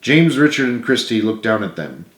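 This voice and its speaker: A man's voice: medium pitch, big pitch swings, moderate pace, fairly bright tone. A man reading his own book aloud as dramatic storybook narration, earnest and emphatic. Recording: roomy, echoing room sound.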